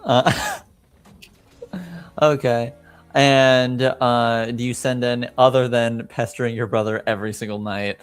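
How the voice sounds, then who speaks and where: A man runs a tabletop roleplaying game as game master. He speaks very slowly and casually, with music playing behind him. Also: medium pitch, flat delivery, clear, resonant tone. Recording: music under the voice.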